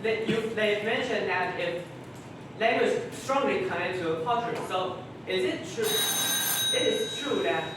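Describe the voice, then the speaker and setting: A fairly high-voiced man, his Chinese, answering debate questions in halting English with many restarts. He sounds distant from the mic in a very echoey room. Steady background noise sits under his voice. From Taiwan. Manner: halting, searching for words, frequent restarts. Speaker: student debater. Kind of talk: debate crossfire.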